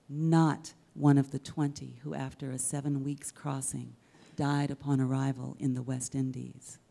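A middle-aged woman reads poetry aloud into a stage microphone. Her voice is low and deeply mellow, and she takes her time, unhurried and measured. The delivery is dramatic spoken word, with rhetorical weight and deliberate pauses. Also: vocal fry. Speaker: poet reading at an event. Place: indoors on a dark theater stage. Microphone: handheld-style microphone on a stand, close.

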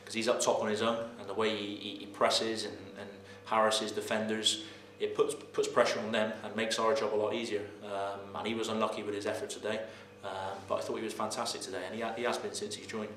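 A man in his thirties, a footballer, answering post-match questions in a halting way, repeating words and filling pauses with "um". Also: on the low side, little pitch movement, fairly bright tone. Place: press room in front of sponsor board. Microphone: camera mic, head and shoulders framing. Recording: roomy with faint noise.

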